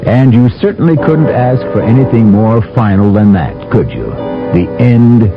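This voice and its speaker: A man's voice: mid-range voice, expressive, a little slow. A man hosting a radio drama who steps in to reflect in a conversational way. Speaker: host narrator. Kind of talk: radio drama host commentary. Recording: dull lo-fi old radio broadcast with music behind.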